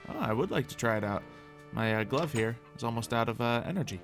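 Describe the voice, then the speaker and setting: A man's voice: medium pitch, lively intonation, fast talker, faint music behind, close to an everyday voice. A man playing a polite, smug android inventor in an improvised game roleplay, musing in formal, precise wording. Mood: explaining, smug.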